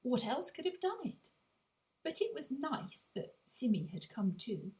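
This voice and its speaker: A woman reading a whimsical children's story aloud in an expressive storytelling manner, with dramatic pauses. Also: high-pitched. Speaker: author reading their own audiobook.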